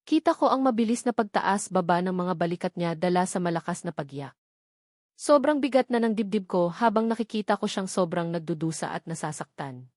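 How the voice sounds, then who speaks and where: Female Filipino storyteller, mid-pitched, emotive and sorrowful, for romance novel narration in a dry recording. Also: a little mellow, dry recording. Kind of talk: dramatic first-person romance novel narration.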